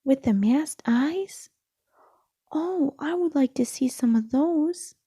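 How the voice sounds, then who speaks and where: Storybook joey , female reader: young boy, wide-eyed, excitable, pleading, raised pitch, close dry sound. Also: slow, dry recording. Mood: excited wonder. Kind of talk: storybook read-aloud.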